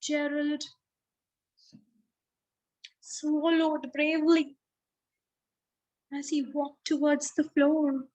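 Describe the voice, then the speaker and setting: A woman with an Indian accent who speaks deliberately, as a children's storyteller addressing young listeners expressively. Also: high-pitched, little pitch movement, darkish tone, low-quality recording. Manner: slow, deliberate, child-directed delivery with drawn-out words. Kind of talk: expressive read-aloud for children.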